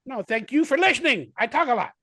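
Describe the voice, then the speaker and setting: Chatty high-voiced man in his forties. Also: sing-song, fast talker, pushed and bright, dry recording. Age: 40s. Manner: rambling, enthusiastic, full of "like" fillers. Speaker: guest actor. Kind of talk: casual remote interview. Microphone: video call.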